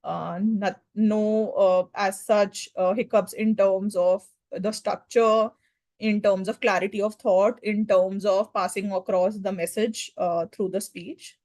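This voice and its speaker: Woman, speech evaluator, measured and hesitant, dry close sound. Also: medium pitch, fairly even intonation, darkish tone, no room sound. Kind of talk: spoken evaluation at a club meeting.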